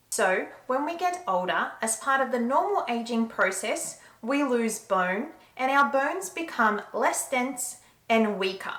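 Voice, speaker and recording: A woman scientist gives an educational science talk, explaining simply and deliberately for a general audience. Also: on the high side, fairly bright tone. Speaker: scientist presenting to the public. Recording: roomy, echoing sound.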